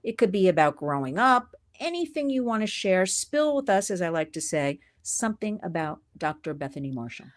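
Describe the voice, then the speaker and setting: A woman, hosting an interview show and chatting with a guest in an animated, gushing way, often laughing. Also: fairly low pitch, moderate pace, hardly any pauses. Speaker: podcast host. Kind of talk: enthusiastic interview chat.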